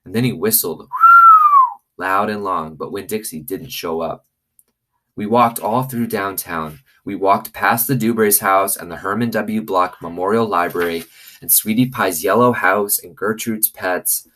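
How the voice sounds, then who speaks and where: A man with a low voice reads a children's novel aloud in a storytelling style. He works as a teacher would, adding simple commentary. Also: measured pace, fairly bright tone. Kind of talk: expressive storybook reading with commentary.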